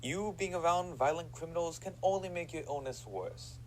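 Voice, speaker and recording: An actor in an audio drama playing a condescending prison psychiatrist with a clinical, sardonic manner. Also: male voice, fairly high pitch, expressive, normal speed, a little mellow, slight background noise, close to an everyday voice, rough recording.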